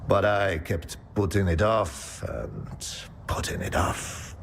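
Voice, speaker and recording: An actor playing a weary, regretful scientist in a video game, speaking in a resigned way. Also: male voice, low-pitched, steady tone, a little hiss or hum. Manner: slow, resigned, sighing. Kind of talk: video game dialogue acting.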